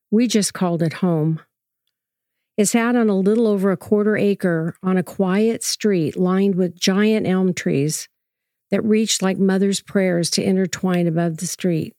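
A woman playing a grown preacher's daughter, recalling her childhood in measured, reflective first-person narration for an audio drama. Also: mid-range voice, a little mellow, no echo, studio quality, close to an everyday voice.

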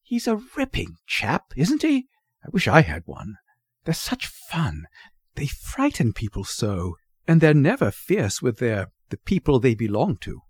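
A man's voice: mid-range voice, huge pitch swings, measured pace, slightly rough, no room sound, studio quality, voice pushed up, exaggerated voice. A man voicing an eager, curious young boy with a chirpy, inquisitive manner in a storybook reading. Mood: delighted.

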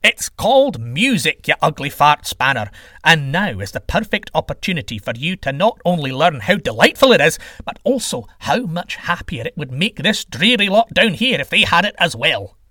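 A bossy, blustering god of the sky, performed by a male storyteller in a comic myth. He scolds impatiently and emphatically, with a high, swooping delivery and a close, dry sound. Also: big pitch swings, normal speed, sharp tone, no room sound, full character voice. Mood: scornful.

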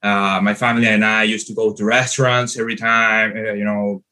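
Halting Venezuelan man with bright voice. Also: on the low side, level tone. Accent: Venezuelan Spanish. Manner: halting, many fillers and restarts. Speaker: guest. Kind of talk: podcast interview answer.

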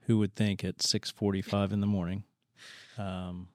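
A man co-hosting a podcast interview, speaking in a measured, reflective way with many fillers. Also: low-pitched, level tone, dry recording, clean studio sound. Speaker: podcast co-host. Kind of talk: conversational interview.

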